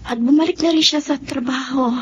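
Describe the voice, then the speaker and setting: A woman with a Filipino accent playing a soft-hearted, remorseful young wife in a melodramatic radio drama. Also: sits high, a little fast, background noise, close to an everyday voice. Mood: friendly. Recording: dull lo-fi radio recording.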